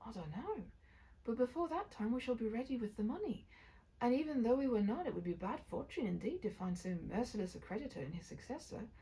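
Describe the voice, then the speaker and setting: A woman's voice: a little high, lively intonation, brisk, warm tone, poor audio. A woman voicing an earnest, compassionate husband burdened by debt in a storybook. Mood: relieved.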